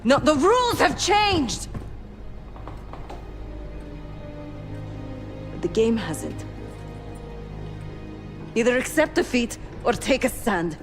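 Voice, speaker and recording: A woman acting a hardened, guilt-haunted former assassin in a video game drama, speaking in a measured, intense way. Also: on the high side, sing-song, soft background music. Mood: pained, urgent.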